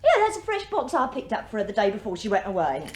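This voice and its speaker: A woman's voice: mid-range voice, wildly swooping pitch, quick pace, a little hiss or hum. An actress playing a saucy, gossipy middle-aged English cleaning woman in a British radio drama, chatty and teasing. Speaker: actor in a radio play.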